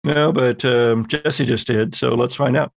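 Gravelly man running a horror game. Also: mid-range voice, steady tone, measured pace, no echo, clean studio sound. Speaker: game master. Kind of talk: narrating a tabletop horror roleplaying game.

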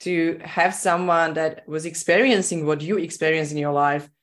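A podcast host interviewing a guest, encouraging and quick to laugh. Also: medium pitch, fairly even intonation. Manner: warm, encouraging, laughs often. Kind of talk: casual interview.

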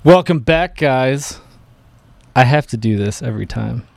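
A man's voice: mid-range voice, measured pace, creaky, dry recording. A man hosting a podcast with casual, teasing, joking banter. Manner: animated, teasing. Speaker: podcast host.

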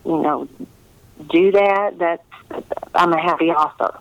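A woman's voice: lowish voice, fairly even intonation, takes it slowly, muffled recording. A middle-aged American woman, a novelist, speaking earnestly and reflectively in a conversational interview. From United States. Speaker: historical romance novelist being interviewed.

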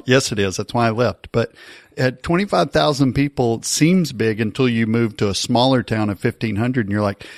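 A man's voice: mid-range voice, no echo, clean studio sound. A man co-hosting a podcast who chats casually and banters with wry jokes. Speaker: podcast co-host. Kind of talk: casual chat.

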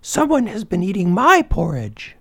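A man voicing an indignant, medium-sized mother bear in a children's storybook, exclaiming in falsetto. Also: wildly swooping pitch, normal speed, creaky, fairly bright tone, husky, dry recording, studio quality, heavily acted.

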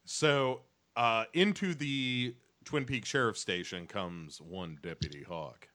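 Slow, laid-back young man co-host. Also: lowish voice, pushed and bright. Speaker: podcast co-host. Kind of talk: casual chat, recapping episodes.

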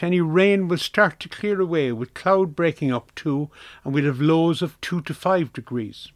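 A man reads a community radio weather forecast in a plain, steady voice that sits a bit high for a man. He is recorded close and dry, with no room sound around him. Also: dry recording. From Ireland.

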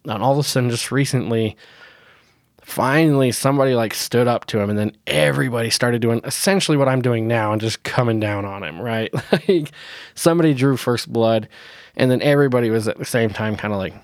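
A man's voice: medium pitch, a little fast, no room sound, studio quality. A man hosting a casual solo podcast, sounding nasal from a cold, blunt and opinionated and laughing often. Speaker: podcast host.

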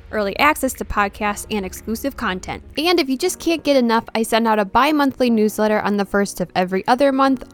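A woman hosting a solo podcast, talking in a chatty, informal way with frequent fillers. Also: a little high. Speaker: houseplant podcast host. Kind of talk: casual solo podcast talk.

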